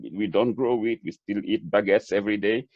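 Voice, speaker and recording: A middle-aged man with a Senegalese accent speaks in a fairly low voice. He answers conversationally as a guest, earnest and reflective, often repeating words as he builds a point. The sound is dry and somewhat dull, like lo-fi webinar audio. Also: a little fast, no room sound. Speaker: webinar guest, chef and food entrepreneur.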